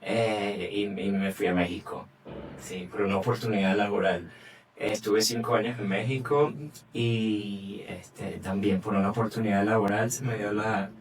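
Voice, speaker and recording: A Venezuelan man in his thirties speaks in a low voice, thinking aloud and looping back over his words as he explains himself. He sounds set back from the microphone. A faint background hum sits under him. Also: steady tone, a little slow. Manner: thoughtful, repeats words as he searches, laughs easily. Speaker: guest, journalist and content creator. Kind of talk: conversational interview. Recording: distant mic with faint background noise.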